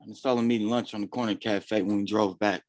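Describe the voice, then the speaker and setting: A man's voice: on the low side, steady tone, brisk, close to an everyday voice. A man playing a concerned, helpful family man in a staged play reading.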